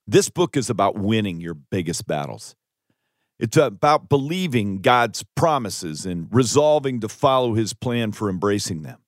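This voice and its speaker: A man's voice: on the low side, big pitch swings, normal speed, no room sound, clean studio sound. A man reading a scripted first-person audiobook testimony with earnest, dramatic emphasis. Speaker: author reading own audiobook.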